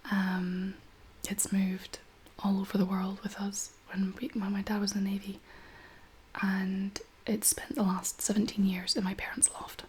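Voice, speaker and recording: A woman in her thirties hosting a soft-spoken ASMR chat in a gentle, unhurried, whispery and slightly husky voice. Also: mid-range voice, monotone, slight background noise. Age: 30s. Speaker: ASMR video host.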